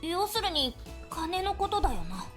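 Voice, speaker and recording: A woman voicing a brash, blunt teenage tomboy schoolgirl in a visual novel game, with rough slangy speech. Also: very high-pitched, lively intonation, fast, fairly bright tone, soft background music. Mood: doubtful, reserved.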